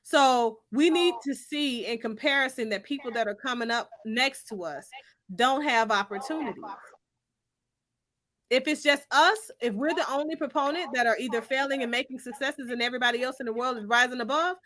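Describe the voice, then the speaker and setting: A woman with a raised pitch and a sharp, forceful tone speaks in an opinionated discussion. She is from Finland and presses each point with emphasis, as someone set on winning the argument. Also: moderate pace.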